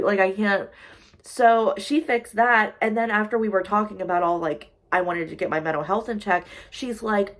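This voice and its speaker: A woman in her twenties talks straight to her viewers in a loose, rambling way, leaning on fillers as she works through her worries. Her voice sits at an ordinary middle pitch. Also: a bit bright. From United States. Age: 20s. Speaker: social worker and vlogger. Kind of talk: casual chat.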